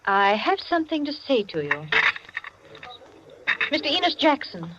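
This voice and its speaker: Gracious, commanding hostess with animated high voice. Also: female voice, unhurried, heavy background noise. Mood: tense, steely. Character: gracious society hostess, lively, commanding, steely when crossed. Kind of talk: 1940s radio acting. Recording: muffled 1940s radio recording with music.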